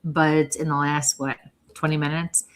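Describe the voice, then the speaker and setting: Lady medium doing a live reading, lowish voice, keeps coughing and clearing her throat. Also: level tone, a little slow. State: irritated throat. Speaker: psychic medium and host. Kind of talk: live-streamed spirit reading.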